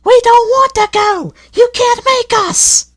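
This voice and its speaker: A man's voice: extremely high pitch, takes it slowly, fairly bright tone, pitched above the performer's own voice, exaggerated voice. A man voicing a pair of lazy, fussy old railway coaches who whine and squeal. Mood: whining. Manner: whiny, squealing, complaining.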